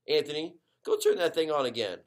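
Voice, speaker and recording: A man voicing an easygoing, gently bossy family dad with a folksy, emphatic way of talking in a children's story. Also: a little high, huge pitch swings, fairly quick, pitched above the performer's own voice. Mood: commanding.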